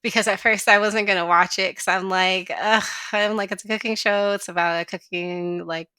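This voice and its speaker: A young adult woman with a slight Chicago accent, hosting a casual podcast chat and telling stories with lively voiced asides. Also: medium pitch, moderate pace, clear, resonant tone, no room sound. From Chicago area. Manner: chatty, animated storytelling with voiced asides. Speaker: podcast host. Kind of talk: casual conversation.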